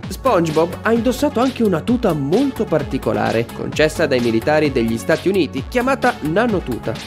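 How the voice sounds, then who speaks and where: A young man hosting a scripted video with lively, enthusiastic presenting. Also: on the high side, a little fast, over music. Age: young adult. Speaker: video host. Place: small room with shelves.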